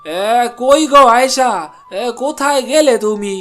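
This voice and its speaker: Guy playing poor cartoon villager husband, unusually high voice, weary, soft-hearted, music behind. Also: a little slow. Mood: coaxing. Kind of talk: cartoon voice acting.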